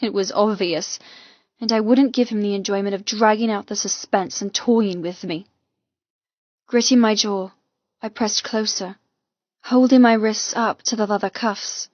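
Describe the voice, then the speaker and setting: A woman reading a first-person romance audiobook with intense, expressive, dramatic delivery. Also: medium pitch, a little slow, no room sound, muffled recording. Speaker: audiobook narrator.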